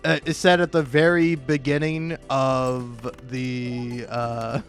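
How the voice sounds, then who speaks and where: A man's voice: medium pitch, flat delivery, takes it slowly, faint music behind. A man doing casual, rambling YouTube gaming commentary, stammering and restarting often, with profane, self-deprecating talk.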